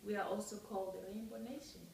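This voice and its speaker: A middle-aged woman answering a child's interview questions in a relaxed home chat, explaining things with frequent ums. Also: medium pitch, quick pace, gravelly, slight background noise. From Southern Africa. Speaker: interviewee, parent. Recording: echoey room, mic far off.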